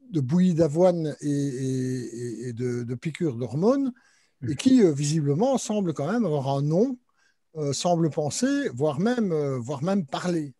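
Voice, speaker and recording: A man's voice: on the high side, mellow, dry recording. A man moderating a literary panel, introducing the guests and their books with many hesitations and fillers. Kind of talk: panel discussion hosting.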